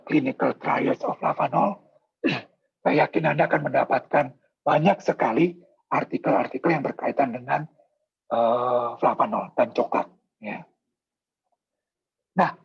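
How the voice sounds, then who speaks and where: Hoarse male presenter, gravelly and husky, dull lo-fi video call audio. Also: medium pitch. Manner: polite, explanatory, many filler pauses. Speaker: product presenter. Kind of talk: sales presentation in a video meeting.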